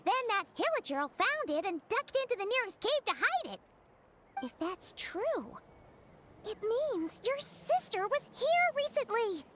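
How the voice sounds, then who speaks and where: A woman voicing a small, excitable, childlike fairy companion in an anime game, squeaky and chatty. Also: unhurried, background noise, heavily acted. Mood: excited. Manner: chatty, exclaiming, refers to self in third person. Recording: music behind the voice.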